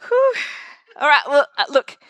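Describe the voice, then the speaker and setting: A woman's voice: high voice, very animated, unhurried, fairly bright tone, no room sound, lo-fi sound. A woman from Western Australia with an Australian accent, giving a chatty conference talk full of fillers. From Perth, Western Australia. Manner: chatty, self-deprecating, many fillers. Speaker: conference speaker. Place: conference room.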